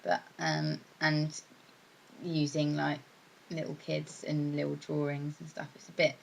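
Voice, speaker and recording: Low, creaky-voiced woman. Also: completely flat delivery, measured pace, a little hiss or hum, rough recording. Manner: tentative, hedging. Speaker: interviewee. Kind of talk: casual chat.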